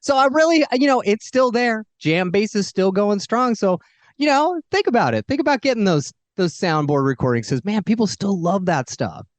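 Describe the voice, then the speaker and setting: A man's voice: high voice, sing-song, moderate pace, no room sound. A man co-hosting a casual interview, bantering in an effusive, jokey and emphatic way. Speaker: show co-host.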